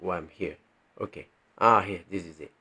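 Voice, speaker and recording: A man with a Malaysian accent giving an informal tutorial, thinking aloud haltingly and often checking in with the listener. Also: low-pitched, bright, forceful tone, breathy edge. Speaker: tax training presenter. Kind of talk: informal tutorial walking through on-screen examples.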